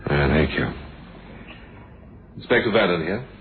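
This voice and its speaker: Deep-voiced, deliberate police inspector. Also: male voice, animated. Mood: grave, businesslike. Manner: deliberate, understated, polite. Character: measured, dryly thoughtful male police inspector. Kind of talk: radio drama acting. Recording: dull, hissy old radio recording.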